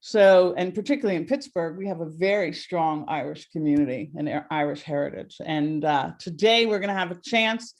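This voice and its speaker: A woman hosting a live webinar over a video call, talking in a warm, rambling way with many fillers. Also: deep voice, fairly quick, few pauses. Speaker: host.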